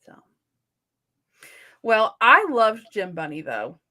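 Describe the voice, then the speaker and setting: A woman in a casual book discussion as a co-host, talking informally with slangy phrasing and softened opinions. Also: mid-range voice, big pitch swings, takes it slowly.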